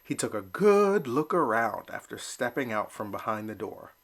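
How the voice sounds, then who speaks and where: A man reading a children's picture book aloud, expressive and animated. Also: medium pitch, moderate pace, gravelly. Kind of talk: children's read-aloud narration.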